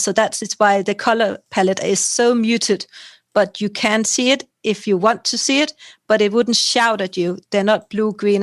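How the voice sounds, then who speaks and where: Woman from Denmark, young adult, casual rambling, tag questions, mid pitch, dry sound. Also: no echo. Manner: rambling, loose, ends points with tag questions. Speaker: potter guest. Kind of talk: casual interview chat.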